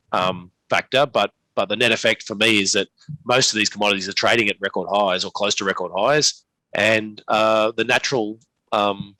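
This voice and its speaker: A man speaking as an investment expert in an unscripted interview, halting and often restarting his phrases. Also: fairly low pitch, sharp tone, no echo, low-quality recording. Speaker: investment manager, interview guest. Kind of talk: unscripted market commentary in a live Q&A.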